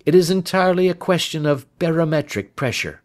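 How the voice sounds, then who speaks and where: Guy doing a confident victorian detective for an audiobook, pitched up, emphatic, really animated. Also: brisk, natural voice. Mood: breezy, assured. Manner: assured, emphatic, articulate. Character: sharp, confident, lofty Victorian male detective.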